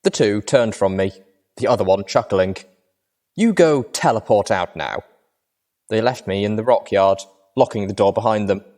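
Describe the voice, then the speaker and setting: A man narrating a fan fiction story in first person at a measured, steady pace. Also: lowish voice. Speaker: audiobook reader.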